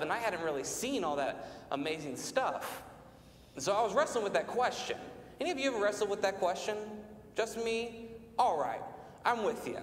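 High-voiced American man preaching in echoey church. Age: late 30s. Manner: informal, self-deprecating, rhetorical questions to congregation. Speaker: pastor. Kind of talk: conversational sermon with personal testimony. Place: large church sanctuary, speaking from the front. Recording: echoey church hall, faint rumbling noise.

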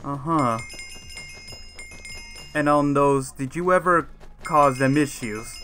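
A man's voice: on the high side, slow pace, bright, forceful tone. A man playing a dry, evasive adult guardian in an improvised game roleplay, understated and hesitant. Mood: evasive, probing. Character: adult male guardian, dry and evasive, minding two teens. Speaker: roleplay actor in a Minecraft series. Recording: music and chimes behind the voice.

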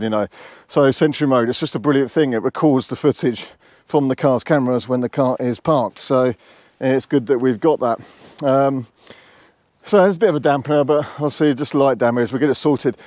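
A man's voice: mid-range voice, a little mellow, dry recording, clean studio sound. A man, chatting casually and matter-of-factly to camera as a car vlogger, on a lapel mic. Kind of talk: casual vlog talk to camera. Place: mostly outdoors, car parks and roadside. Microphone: lavalier with furry windshield.

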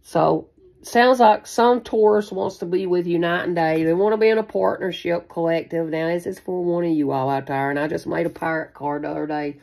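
A woman's voice: fairly low pitch, fairly even intonation, a little fast, gravelly, a little mellow. A woman giving a casual, improvised tarot reading in a rambling, repetitive, folksy way, swearing now and then. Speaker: tarot reader hosting a spiritual channel. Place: small indoor room at a card table.

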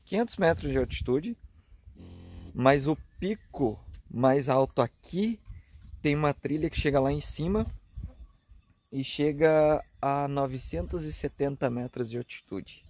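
A man in his thirties from southern Brazil, with a Rio Grande do Sul accent, chatting casually for his camping vlog. He talks in a relaxed way with frequent tag questions, recorded on a camera held at arm's length at an outdoor hilltop campsite. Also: on the high side, unhurried. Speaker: travel vlogger. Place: outdoor campsite on a grassy hilltop, earlier inside a car at night.

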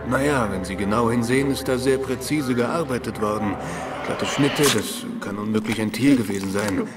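A man voices a laid-back male cop with dry gallows humor, reporting matter-of-factly in a radio play. He sounds a little distant from the mic, with music clearly underneath. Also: mid-range voice, normal speed, natural voice. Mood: explaining. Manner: dry, joking, matter-of-fact. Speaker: police officer at a crime scene. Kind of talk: German radio drama acting.

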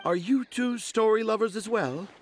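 A man's voice: high voice, normal speed. An actor playing a theatrical, poetic middle-aged children's author and father in a fantasy video game. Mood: inspired. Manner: theatrical, florid, poetic speech. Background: game background music.

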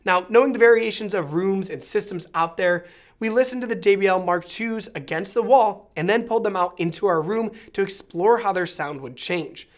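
A man's voice: high voice, normal speed. An American man in his thirties giving a scripted review to camera with polished, articulate presenter delivery. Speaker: presenter of an audio product review. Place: small indoor studio set.

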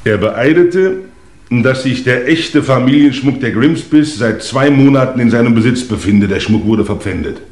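An actor playing a stern, methodical Scotland Yard investigator in a 1950s German radio crime play, formal and deliberate. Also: male voice, medium pitch, expressive, darkish tone, natural voice. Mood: official. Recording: roomy old radio play recording.

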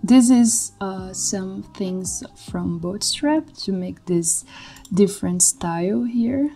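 A young woman from Brazil with a Brazilian Portuguese accent, a native Portuguese speaker, walking through her code on a screen share as a tutor in a relaxed, halting way with many fillers. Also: medium pitch, vocal fry, soft background music. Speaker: coding tutor. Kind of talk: screen-share coding walkthrough. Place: indoor room.

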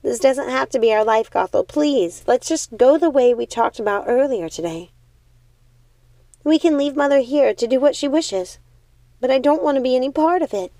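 Woman acting a high-pitched, outraged young witch. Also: expressive, normal speed, mellow, dry recording. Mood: pleading. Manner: emphatic, exclaiming, scornful. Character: outraged, pleading young witch sister, horrified and rebellious.

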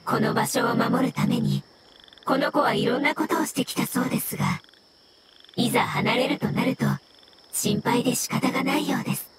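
Woman as a high-voiced polite mushroom spirit. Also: very noisy, close to an everyday voice. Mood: polite, concerned. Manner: formal, measured, translating calmly. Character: polite, gentle female talking water fungus spirit. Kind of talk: scripted game dialogue in Japanese voice acting. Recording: music under the voice.

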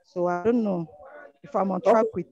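A woman speaking calmly and gently as a panelist on a video call. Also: medium pitch, normal speed, muffled recording. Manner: calm, gentle, explaining.

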